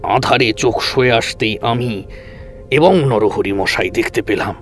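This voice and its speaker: A man narrating a horror audio story in the first person with measured, suspenseful, dramatic delivery. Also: on the low side. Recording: music under the voice. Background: background music.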